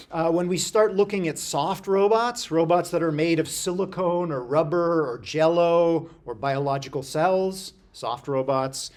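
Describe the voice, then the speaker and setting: Lecturer, male, high pitch, chatty classroom delivery with ums and self-corrections. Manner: conversational, explains with everyday examples, frequent fillers and restarts. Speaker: university lecturer.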